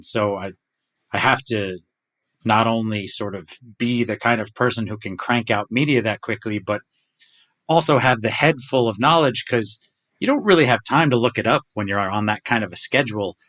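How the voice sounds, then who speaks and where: A man who works as a polar expedition diver, chatting casually with colleagues in an excitable, animated way. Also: moderate pace. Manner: excitable, animated, jumps up in pitch when enthusiastic. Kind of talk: casual group chat.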